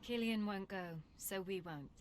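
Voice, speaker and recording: A woman's voice: medium pitch, measured pace, a little hiss or hum, natural voice. An actress playing a world-weary but principled and loyal female assassin in a video game. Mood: weary, regretful. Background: game music.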